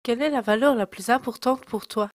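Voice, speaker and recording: A woman reading a scripted voice-over and putting interview questions in a clear, measured way. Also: a little high, no echo, clean studio sound. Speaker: narrator and interviewer.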